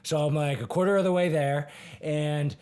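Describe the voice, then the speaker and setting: A man with a raspy voice hosting a podcast, chatting casually and chiming in with short agreements. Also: fairly high pitch, fairly even intonation, normal speed, a little mellow. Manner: agreeable, chimes in with short affirmations. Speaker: podcast host. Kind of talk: casual interview chat.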